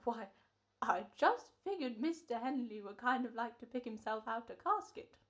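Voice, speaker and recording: Old gentleman salesman for radio horror comedy, played by a woman: very high, animated, polite, rambling. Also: moderate pace, lightly acted. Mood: genial. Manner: rambling, courteous, folksy patter.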